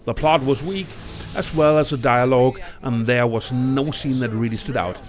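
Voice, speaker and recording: A man's voice: medium pitch, normal speed, soft background music. A man hosting a countdown video, reading a scripted film review as narrator. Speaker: video list host and narrator.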